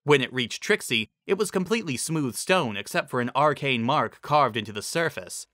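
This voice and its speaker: Bright-voiced man narrating, very dry recording. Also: mid-range voice, no room sound. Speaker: story narrator. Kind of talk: dramatic scripted narration.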